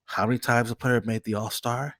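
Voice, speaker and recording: A man's voice: medium pitch, fairly even intonation, a little fast, fairly bright tone, no echo. A man hosting a casual solo podcast, nasal and stuffed up from a cold, rambling and repeating himself as he talks straight to his viewers. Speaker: podcast host.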